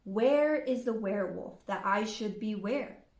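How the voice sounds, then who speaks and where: A woman's voice: medium pitch, lively intonation, a little slow, darkish tone, echoey room. A woman voicing a big, menacing, sceptical male wolf in a children's storybook. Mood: skeptical. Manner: snarling, skeptical. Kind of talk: children's storybook read-aloud.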